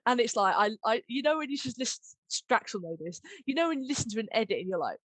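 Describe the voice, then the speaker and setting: A woman's voice: on the high side, animated, quick pace, no room sound, low-quality recording. A woman hosting a casual podcast chat about audio drama work, speaking in a gushing, enthusiastic way. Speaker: host and audio drama editor.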